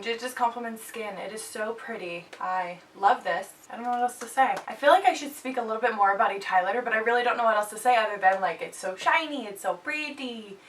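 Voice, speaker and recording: A woman's voice: mid-range voice, a bit bright. A young woman in her twenties with a stuffed-up nose, chatting casually and jokily as she reviews products for her channel. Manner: bubbly, chatty, jokey asides. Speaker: beauty vlogger. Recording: slightly distant mic, faint background hiss.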